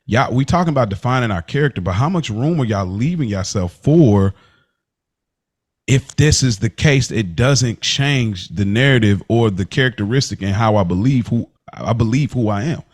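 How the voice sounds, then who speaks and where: Probing American man, medium-pitched podcast talker. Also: expressive, moderate pace. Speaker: podcast panelist asking questions. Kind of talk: casual podcast conversation.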